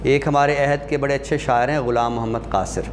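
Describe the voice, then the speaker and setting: A man giving an explanatory class lecture in a deliberate manner, pausing and posing questions that he then answers. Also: mid-range voice, steady tone, background noise. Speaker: lecturer teaching Urdu rhetoric.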